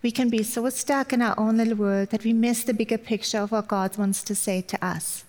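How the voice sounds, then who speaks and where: A woman with a German accent delivers a warm, chatty Mother's Day sermon to a congregation. Her voice sits a little high for a woman. It carries a long reverb from the hall around her. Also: steady tone, a little fast. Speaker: guest speaker.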